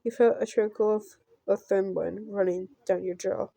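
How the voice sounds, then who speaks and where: A woman's voice: medium pitch, fairly even intonation, gravelly, warm tone, dry recording. Woman with a dry, close sound reading aloud. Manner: halting, stumbling read-aloud with offhand reactions. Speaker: gameplay host reading a visual novel. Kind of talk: casual let's play with read-aloud narration.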